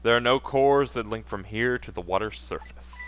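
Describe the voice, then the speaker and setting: A man's voice: on the low side, moderate pace, a bit bright, close to an everyday voice. A man voicing a bitter, resigned ghost schemer in a dramatic read-aloud of game dialogue over music. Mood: grim. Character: bitter, resigned male ghost schemer confessing his plot.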